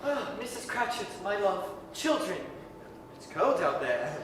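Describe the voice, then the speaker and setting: Someone acting a sweet cheerful dad, medium pitch, old radio drama, big echoey hall with clear hiss. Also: sing-song, a little slow, fairly bright tone, far from the mic. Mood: affectionate. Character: gentle, doting, cheerful father Bob Cratchit. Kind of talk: 1930s radio-style staged reading.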